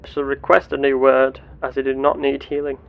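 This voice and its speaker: A man narrating his gameplay in character as an earnest, curious starship captain, with formal, deliberate wording. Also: mid-range voice, monotone, creaky, dull audio, a little hiss or hum. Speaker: player of a roleplay game series.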